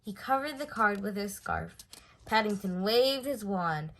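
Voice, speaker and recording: A woman reading a children's story aloud in an expressive way, stressing words for effect. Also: mid-range voice, measured pace. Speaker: storybook reader.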